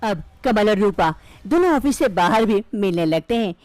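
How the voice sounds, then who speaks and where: A woman narrating a cartoon story in a steady, plain storytelling manner. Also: medium pitch, moderate pace, no room sound, faint music behind.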